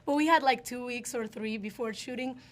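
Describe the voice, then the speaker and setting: A woman's voice: a little high, fairly quick. A woman who is not an English speaker chatting lightheartedly in a press interview, giggling often. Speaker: actress promoting a film. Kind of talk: casual press junket chat.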